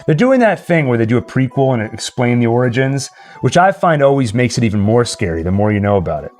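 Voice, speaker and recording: A man's voice: mid-range voice, sing-song, quick pace, darkish tone, faint music behind. A man hosting a livestream, chatting casually and reading out comments. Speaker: livestream host. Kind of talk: casual chat.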